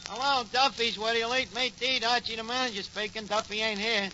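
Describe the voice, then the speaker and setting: An actor playing a wisecracking tavern manager with a New York accent and slangy, mock-grand speech in old radio comedy. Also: male voice, extremely high pitch, steady tone, a little fast. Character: scheming, wisecracking male tavern manager, malaprop-prone. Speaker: sitcom lead. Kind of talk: 1940s radio comedy acting. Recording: noisy, dull 1940s radio recording.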